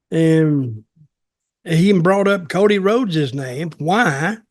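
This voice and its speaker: A man in his seventies with a slightly high-set voice talks casually on a podcast. He rambles through opinions in a blunt, folksy way. The sound is dry, with very little room in it. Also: no echo. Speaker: podcast co-host and wrestling storyteller. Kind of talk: casual chat, opinionated commentary. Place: small home office, video call.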